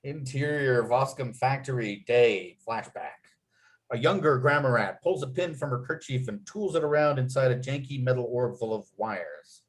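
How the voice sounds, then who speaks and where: A man's voice: mid-range voice, animated, moderate pace, poor audio. A man reading stage directions as narrator at a script table read, in vivid storytelling style.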